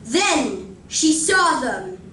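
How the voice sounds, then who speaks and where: A woman reading scripted narration for a live radio drama in a deliberate, theatrical way. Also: high-pitched, very animated, bright, forceful tone. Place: library community room, live performance. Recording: roomy distant recording, faint noise.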